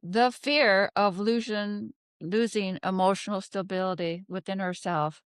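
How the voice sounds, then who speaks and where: A woman host reads tarot cards in a slow, deliberate delivery. Her voice is smooth and clear, recorded close and dry. She thinks out loud, pausing often and putting questions to the air. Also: medium pitch, fairly even intonation, no echo. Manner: halting, pondering aloud with rhetorical questions. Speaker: tarot card reader, host. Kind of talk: unscripted tarot reading commentary.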